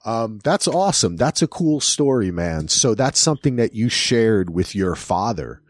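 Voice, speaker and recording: Man, 40s, podcast host; lowish voice, dry close mic, loose chatty delivery. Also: sing-song, moderate pace, no room sound. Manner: chatty, loose, trailing sentences. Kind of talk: casual podcast chat.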